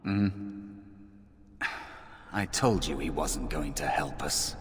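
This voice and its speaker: An actor playing a timid, brooding spirit companion in a fantasy video game, speaking in a hesitant, downcast way. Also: male voice, a bass voice, slow pace. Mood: muttering. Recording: long reverb, clear background noise.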